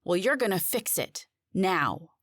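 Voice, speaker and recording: A woman's voice: lowish voice, big pitch swings, unhurried, fairly bright tone, dry recording, exaggerated voice. Woman acting a fed-up confused lady in a spooky audio story, sarcastic, demanding, dry close sound. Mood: exasperated, sarcastic. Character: exasperated, bewildered woman trapped in supernatural mystery.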